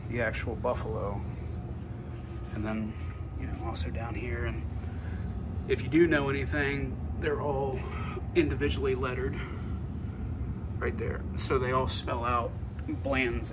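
A man casually narrating a walk-through tour of his basement game room, offhand and pausing often. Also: medium pitch. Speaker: homeowner giving a video tour. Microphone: handheld phone, behind the camera. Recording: noisy indoor phone video.

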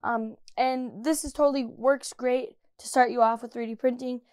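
A young woman with a slightly hoarse voice chatting casually through a product review, full of ums and uhs, recorded on a studio mic in a small room. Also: fairly high pitch, fairly even intonation, normal speed, a little mellow. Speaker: 3D printing reviewer. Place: small indoor room with 3D printers. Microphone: studio mic with pop filter.

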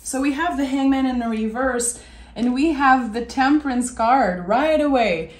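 A woman with a raspy voice giving a gentle, soothing tarot reading. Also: sits high, measured pace, roomy sound. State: raspy voice today. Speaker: tarot reader.

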